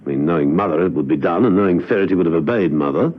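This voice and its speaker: An actor playing a suave, unflappable British gentleman secret agent in a classic spy radio drama, courteous and dryly witty, with a smooth voice. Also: sits low, very fast. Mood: puzzled. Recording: muffled vintage radio recording.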